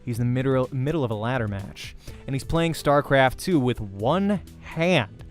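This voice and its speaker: A man reading a visual novel aloud as dramatic narration, sometimes stumbling over words and correcting himself. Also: mid-range voice. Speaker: let's play host and voice actor reading a visual novel.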